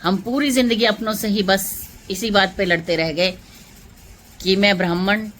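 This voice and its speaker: A woman's voice: medium pitch, steady tone, normal speed, fairly bright tone. A woman vlogger delivering an emotional political monologue to viewers, impassioned and rhetorical, repeating phrases for emphasis.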